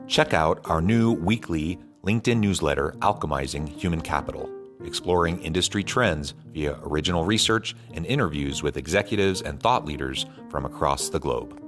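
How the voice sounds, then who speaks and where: A man reading scripted promotional narration in a measured announcer style. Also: extremely low pitch, lively intonation, soft background music. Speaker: podcast host reading promos.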